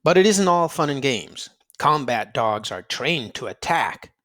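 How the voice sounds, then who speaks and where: A man's voice: mid-range voice, very animated, a little slow, fairly bright tone. A man reading a children's nonfiction storybook aloud, explaining emphatically to young listeners. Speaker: children's read-aloud narrator.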